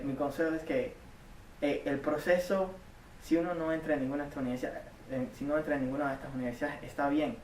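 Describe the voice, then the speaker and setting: A young man from Cuba, a community college student giving earnest, halting interview answers full of restarts and repeated words. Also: mid-range voice, darkish tone, a little hiss or hum. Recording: echoey room, mic at a distance.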